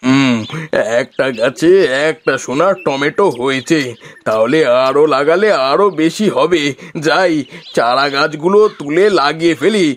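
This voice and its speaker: A man voicing a village farmer, a husband and father, in a homely, expressive cartoon style. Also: on the high side, unhurried. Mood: cheerful, eager. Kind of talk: cartoon voice acting.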